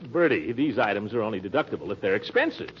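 An actor playing a pompous, easily rattled middle-aged American uncle, blustering and stammering when flustered, in 1940s radio comedy style. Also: male voice, lowish voice, sing-song, quick pace. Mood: blustering, impatient. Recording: muffled, noisy old radio recording.

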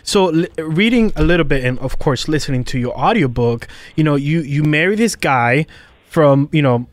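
A man interviewing a guest as a podcast host, asking casual, halting questions that tend to ramble. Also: on the high side, expressive, dry recording.

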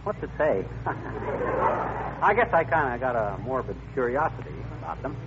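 An actor playing a grumbling, folksy middle-aged American husband in a 1940s radio comedy, blustering and wisecracking, on a muffled old recording. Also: male voice, mid-range voice, sing-song, a little slow, fairly bright tone, a little hiss or hum. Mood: exasperated.

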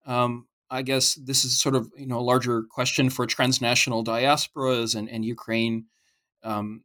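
Man, podcast host, academic interview, hesitant, lots of restarts, middle pitch. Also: little pitch movement, moderate pace, pushed and bright. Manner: hesitant, many restarts and fillers.

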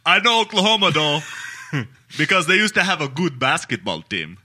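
A talkative young Jamaican cab driver, loose and friendly, with a bright, lively voice that rises and falls a lot, as a podcast cohost puts him on. He chats easily with his passenger before dawn. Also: fairly high pitch, normal speed, exaggerated voice. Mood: chatty. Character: young Jamaican male Uber driver, chatty.

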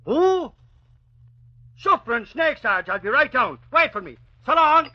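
An actor playing an excitable, blustering, talkative Irish newshound with an Irish accent in an old radio drama. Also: male voice, very high voice, a little slow, full character voice. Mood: excited. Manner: blustering, exclamatory. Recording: dull, lo-fi old radio recording.

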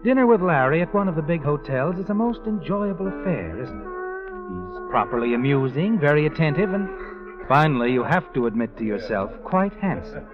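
Male radio-drama narrator, highish and insinuating, second-person storytelling, muffled vintage sound over music. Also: sing-song, brisk. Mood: sly, knowing. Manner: insinuating, rhetorical. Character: knowing, insinuating narrator addressing the heroine.

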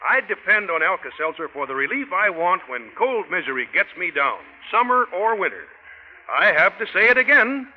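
An actor playing an enthusiastic satisfied American customer in a 1940s radio advertisement, with emphatic rehearsed delivery. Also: male voice, high-pitched, sing-song, moderate pace. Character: enthusiastic satisfied customer giving a product testimonial. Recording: tinny, noisy, echoey vintage radio.

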